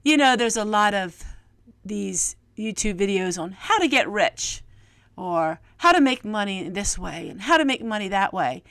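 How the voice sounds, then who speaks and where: An American woman vlogger sharing a personal, reflective monologue with viewers in an earnest, gently preachy manner, often posing rhetorical questions. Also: mid-range voice, animated, unhurried, no room sound, clean studio sound.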